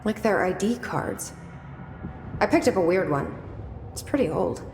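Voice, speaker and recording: An actress playing a young agency director in a video game, terse and probing, with an understated, dry delivery. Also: female voice, lowish voice, expressive, unhurried, vocal fry, music in the background. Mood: wry, curious. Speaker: game protagonist. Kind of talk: video game dialogue acting. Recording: echoey hall reverb with noisy game ambience.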